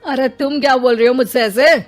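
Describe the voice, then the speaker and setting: A woman's voice: sits high, fairly even intonation, a little fast, soft background music, pitched above the performer's own voice, lightly acted. A woman voicing a grumpy, tired husband and father in a story, complaining and dismissive. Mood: aggrieved.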